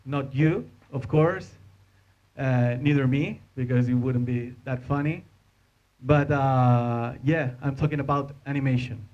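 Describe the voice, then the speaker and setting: A man in his thirties with a Spanish accent gives an informal conference talk as an animator, speaking halting non-native English into a handheld microphone. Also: mid-range voice, a little mellow, highs cut off. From Spain. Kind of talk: informal presentation to an audience. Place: indoor hotel conference room.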